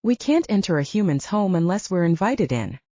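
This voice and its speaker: Woman doing a young vampire girl in an audiobook, guarded n knowing, soft mellow low voice, bit dull recording. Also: sing-song, a little fast, no room sound. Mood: matter-of-fact. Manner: soft-spoken, explanatory. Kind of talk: audiobook character dialogue.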